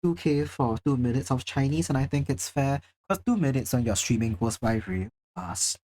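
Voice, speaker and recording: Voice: medium pitch, lively intonation, moderate pace, warm tone. A livestreamer from Singapore chatting casually with viewers, chatty and self-deprecating, swearing now and then. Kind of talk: casual live-stream chat, reading chat.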